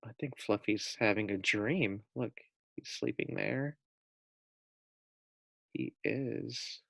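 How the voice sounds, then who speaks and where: A man's voice: lowish voice, vocal fry, a bit bright, no echo. A man reading a children's storybook aloud as a teacher, speaking simply and stepping out for casual asides to young listeners. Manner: slow, simple phrasing, addresses children directly.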